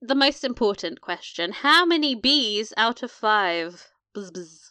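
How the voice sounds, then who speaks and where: A woman's voice: fairly high pitch, lively intonation, measured pace, bright, forceful tone, smooth. A woman co-hosting a casual, chatty recap discussion, gushing and playful in slangy speech. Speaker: podcast co-host.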